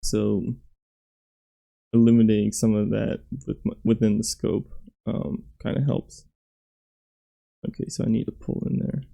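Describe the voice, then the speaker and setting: Hoarse male game designer, very dark and gravelly, halting self-critical play commentary. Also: fairly low pitch, flat delivery. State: hoarse, losing his voice. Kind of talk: gameplay commentary.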